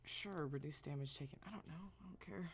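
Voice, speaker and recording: A woman's voice: deep voice, a little fast, slight background noise. A woman game streamer giving casual live commentary, muttering to herself and exclaiming at the game, tired from a long session.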